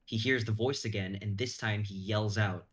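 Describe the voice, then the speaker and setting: A man's voice: on the low side, steady tone, moderate pace. A young man reading story narration aloud in an intense, suspenseful manner. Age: young adult. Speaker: stream host and narrator.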